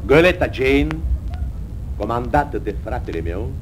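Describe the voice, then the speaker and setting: Actor as an animated, insistent sea captain, old noisy recording. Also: male voice, medium pitch, close to an everyday voice. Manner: emphatic, insistent. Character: stubborn, commanding sea captain searching for lost brother. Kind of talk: 1950s Romanian radio drama acting.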